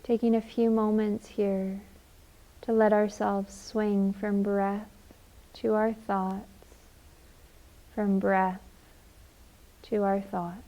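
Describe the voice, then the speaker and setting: Slow, mellow woman guiding meditation. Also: medium pitch, little pitch movement, dull audio. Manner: soothing, gentle, unhurried with long pauses. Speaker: guided meditation instructor. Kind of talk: guided meditation, gentle instruction.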